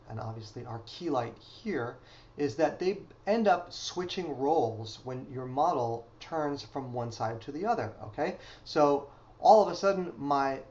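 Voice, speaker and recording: A man's voice: on the high side, muffled recording. Man explaining studio lighting. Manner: explanatory, frequent "okay?" check-ins. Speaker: photography instructor. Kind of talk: instructional voice-over.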